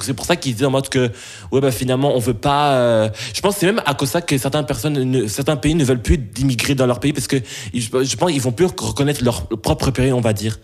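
A young man from Belgium, answering interview questions in a mid-pitched voice. He talks haltingly, stopping to restart his sentences and filling gaps with hesitations. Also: a little mellow. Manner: halting, many restarts and fillers.

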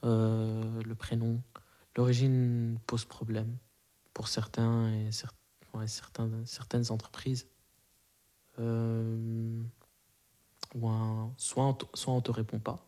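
A man's voice: medium pitch, monotone, slow pace, pauses often, warm tone. A man in his twenties, a native Arabic speaker, answering interview questions casually with many fillers and restarts. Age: 20s.

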